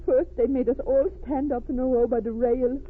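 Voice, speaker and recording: A woman's voice: high-pitched, fairly even intonation, close to an everyday voice. Woman acting distraught refugee wife, tinny radio. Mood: haunted, fearful. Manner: halting, with foreign word order. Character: distraught refugee wife haunted by a smuggler's crime. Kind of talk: old radio drama acting. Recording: tinny, noisy vintage radio recording.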